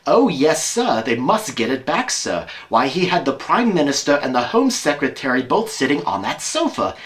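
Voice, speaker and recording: A man puts on a worried housekeeper for an audio drama. Her voice is pitched up and swoops freely. She is deferential yet gossipy, fussing as she chatters. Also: sing-song, moderate pace, fairly bright tone. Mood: eager, deferential. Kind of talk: amateur audio drama acting.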